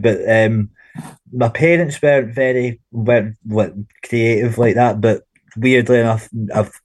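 A man's voice: on the low side, a little mellow, dry recording, poor audio. A Scottish man with a Glasgow accent, a podcast guest chatting informally in slang with the odd swear word. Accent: Glaswegian Scottish. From Glasgow, Scotland. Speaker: podcast guest, rock band frontman. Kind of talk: casual chat.

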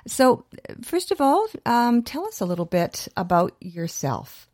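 A woman's voice: fairly high pitch, sing-song, unhurried, a little mellow, dry recording, studio quality. A woman hosting a radio show, reading a scripted introduction and then interviewing a guest. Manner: reads intro clearly, then chatty with small laughs.

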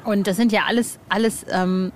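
A woman's voice: mid-range voice, steady tone, normal speed, slight background noise. A woman hosting a podcast chat, informal and colloquial, thinking aloud as she forms her questions. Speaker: podcast host, interviewer. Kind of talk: casual chat.

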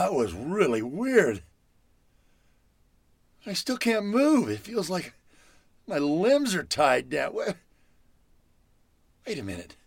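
A woman's voice: fairly low pitch, gravelly, husky, no echo, studio quality, full character voice. An actress playing a frightened, groggy woman trapped at home, in old-time radio horror style, stammering and dramatic. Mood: confused, uneasy. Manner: stammering, breathless exclamations, dramatic.

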